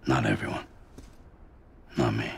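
An American man playing a world-weary, guarded middle-aged courier in a video game, gruff, terse and low-key. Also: steady tone, measured pace, a little hiss or hum, heavily acted. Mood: bleak. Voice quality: gruff, gravelly. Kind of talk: cinematic video game acting. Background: music.

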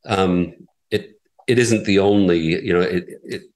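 A middle-aged man, a radio station executive, giving measured, explanatory interview answers with frequent restarts. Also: low-pitched, darkish tone. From United States. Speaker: radio station president, guest.

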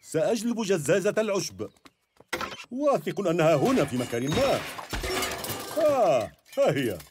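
A man's voice: high voice, very animated, moderate pace, music in the background. An actor voicing a cheerful, easygoing father pig in a dubbed cartoon, jolly and reassuring.

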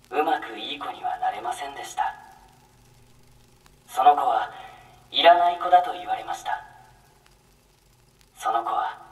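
Actor as solemn storybook narrator, male, very slow, hushed, echoey, game fairy tale. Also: mid-range voice, close to an everyday voice. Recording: echoey, distant voice.

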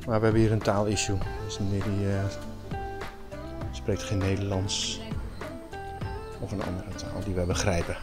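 A man's voice: fairly low pitch, little pitch movement, moderate pace, long pauses. Man, 50s, casual hesitant vlog talk, outdoor selfie camera, background music. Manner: chatty, hesitant, many fillers. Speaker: sailing vlogger. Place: outdoors, tropical village. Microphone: handheld camera at arm's length, selfie.

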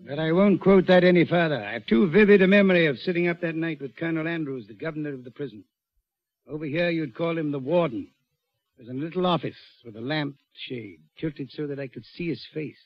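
An actor playing a dry, probing gentleman who narrates and questions in a measured 1940s radio style. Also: fairly high pitch, close to an everyday voice. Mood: reflective. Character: wry, probing British gentleman narrator, a prison visitor. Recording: dull old 1940s radio recording.

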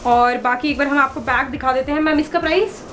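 A woman's voice: high-pitched, a little fast, fairly bright tone. A young woman hosting a casual product showcase, chatty and agreeable, mixing in English words. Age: young adult. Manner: chatty, echoes and affirms the seller, mixes in English words. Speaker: video host. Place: small indoor shop room with piled clothes. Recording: noisy, echoey room.

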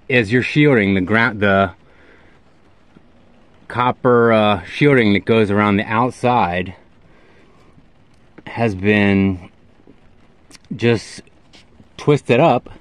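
An American man in his forties explaining things in a casual, informal vlog style, with emphatic, halting phrasing. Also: fairly low pitch, fairly bright tone, dry recording. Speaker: radio hobbyist video host. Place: inside a parked SUV.

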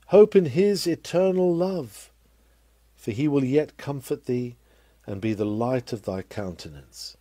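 A man reading a scripted sermon aloud in a solemn, measured, oratorical manner. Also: a little high, dark tone, dry recording. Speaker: sermon reader.

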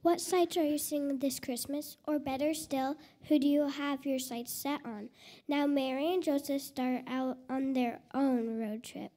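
A woman's voice: high voice, steady tone, unhurried, mellow. A woman reading scripted narration for a church Christmas program. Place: church hall.

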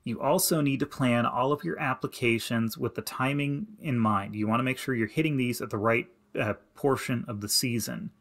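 A man narrating a slideshow lesson as an extension educator, giving plain, practical advice in a steady instructive way. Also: medium pitch, normal speed, hardly any pauses. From Indiana. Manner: plain, practical and advising, with many "you need to" instructions. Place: voice-over to slides.

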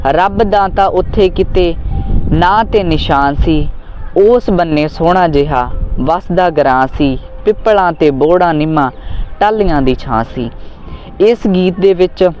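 A man's voice: fairly high pitch, darkish tone. An earnest, solemn man, speaking as a host who recites and discusses poetry. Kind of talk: spoken commentary with poetry recitation. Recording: muffled lo-fi audio with background music. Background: music.

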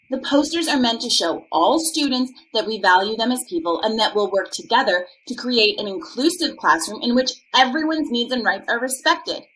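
A woman's voice: a little high, a bit bright, natural voice, low-quality recording. A woman playing a principled school committee member in a scripted video call dramatization. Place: home room, video call. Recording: laptop call with faint room noise.

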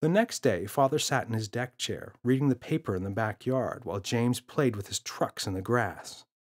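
A man narrating a children's story from a script, as an expressive storyteller. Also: mid-range voice, normal speed. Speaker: author and audiobook narrator.

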